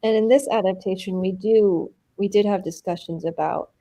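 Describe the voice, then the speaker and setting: A woman's voice: mid-range voice, normal speed. A woman, an actor, talking animatedly in a live-stream interview and filling her speech with "like". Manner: animated, uses many "like" fillers.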